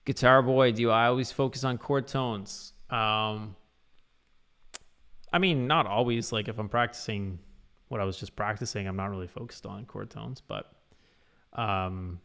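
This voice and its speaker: A man's voice: fairly low pitch, unhurried, a bit bright, clean tone. A man teaching guitar on a casual livestream, talking loosely with lots of fillers. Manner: loose, rambling, full of fillers.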